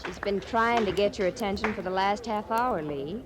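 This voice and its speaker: An actress playing a familiar, gently chiding woman at an army dance in a 1950s radio western. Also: mid-range voice, normal speed, crowd noise, background noise, natural voice. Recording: old radio drama, music behind. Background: dance music.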